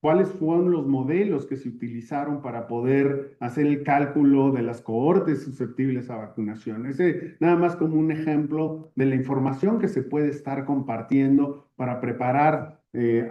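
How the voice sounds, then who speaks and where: A man's voice: medium pitch, moderate pace, few pauses. A man giving a webinar talk, speaking steadily with frequent fillers. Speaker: webinar panelist. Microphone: video call. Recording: echoey, dull video-call audio.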